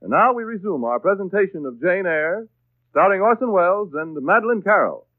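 A man's voice: high-pitched, lively intonation, a little fast, dry recording. An American man reading a script as a 1930s radio announcer. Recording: dry, tinny 1930s radio broadcast.

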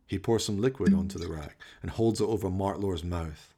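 A man's voice: low voice, brisk, mellow, husky. A man narrating a comic horror radio play with theatrical, dramatic storytelling.

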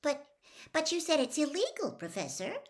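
A woman's voice: high-pitched, big pitch swings, moderate pace, pitched above the performer's own voice. A woman voicing an anxious, studious teenage girl who speaks hesitantly. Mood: uncertain. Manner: hesitant, faltering.

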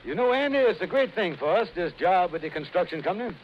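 A high-pitched, evasive schemer from an old radio comedy, stammering and folksy. His grammar is loose and his manner flustered, on a dull recording thick with hiss and clicks. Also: lively intonation, fast talker. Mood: folksy, agreeable. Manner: stammering, folksy, ungrammatical talk.

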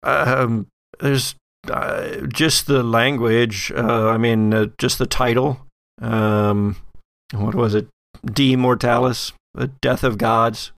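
Creaky, deadpan FBI agent, played by a man. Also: mid-range voice, slow pace, no room sound, studio quality. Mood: hesitant. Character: wry foul-mouthed tattooed FBI agent.

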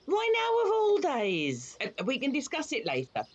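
A woman's voice: high voice, wildly swooping pitch, moderate pace. An actress playing a fussy, bossy, long-married older wife and mother in an audio play. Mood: fussy, chiding. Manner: fussy, chiding. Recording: muffled, noisy home recording.

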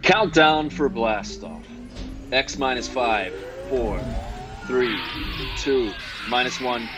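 A man's voice: mid-range voice, slow, over music, close to an everyday voice. A man playing a grand, dramatic announcer in the style of 1950s radio science fiction.

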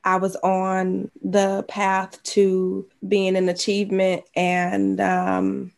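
A woman's voice: medium pitch, monotone, takes it slowly, vocal fry. A woman hosting a podcast interview, affirming and effusive, laughing often with her guest. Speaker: podcast host. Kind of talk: casual interview chat. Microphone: podcast mic.

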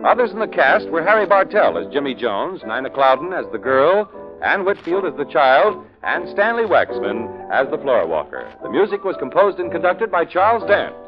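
A man's voice: a little high, big pitch swings, normal speed. A man announcing on 1940s radio, reading sponsor copy and credits in a polished, folksy sales delivery. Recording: muffled old radio broadcast with music.

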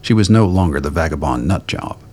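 Deep-voiced male narrator of audio drama. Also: expressive, a little fast, a little mellow, slightly rough.

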